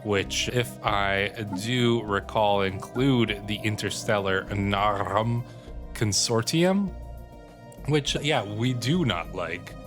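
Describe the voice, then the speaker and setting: Man, gaming YouTuber, lowish pitch, head cold, casual musing commentary, from Canada, prominent background music. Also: takes it slowly. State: sick with a bad cold. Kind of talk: casual narrated strategy game roleplay commentary. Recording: loud music under the voice.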